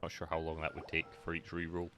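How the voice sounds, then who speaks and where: A man's voice: extremely low pitch, monotone, quick pace, a little hiss or hum. A man streaming a video game, giving short, casual commentary over the gameplay. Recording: loud game music behind. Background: video game music.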